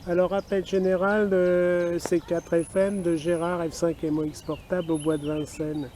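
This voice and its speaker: A man with a fairly high, very mellow voice chats casually as he films a radio outing. He spells out call signs deliberately. He is outdoors, with faint natural background noise. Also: level tone. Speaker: amateur radio operator filming an outing. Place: outdoors in a wooded park.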